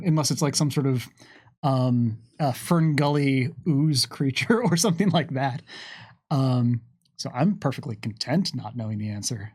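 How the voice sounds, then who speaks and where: A man's voice: on the high side, dry recording, studio quality. A man hosting a livestream, chatting casually through viewer questions in a wry, musing way with playful asides. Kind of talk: casual game lore Q&A chat.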